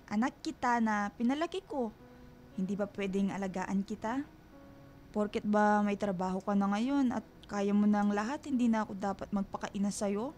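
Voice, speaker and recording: An actress playing an ailing middle-aged mother in a radio drama, tender, fretful and gently stubborn. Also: mid-range voice, moderate pace, close to an everyday voice. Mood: worried, tender. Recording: music bed under dialogue. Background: background music.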